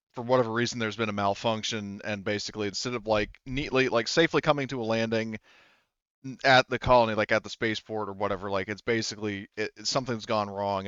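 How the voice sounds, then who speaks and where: Male game master, casual and meandering, clean smooth tone, lo-fi dry sound. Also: on the low side, a bit bright, no room sound. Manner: rambling, restarts sentences often. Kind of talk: casual tabletop game narration.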